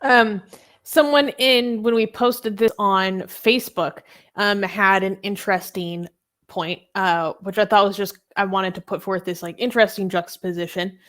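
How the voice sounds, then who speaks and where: A woman's voice: mid-range voice, steady tone, normal speed, a bit bright. Casual woman panelist with a mid-range voice. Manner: informal, peppered with "like". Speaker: panelist on a discussion show.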